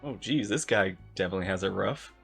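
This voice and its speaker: A woman's voice: very deep voice, fast, gravelly, music in the background. A woman streamer casually reacting to a video game, chattily talking back to the characters.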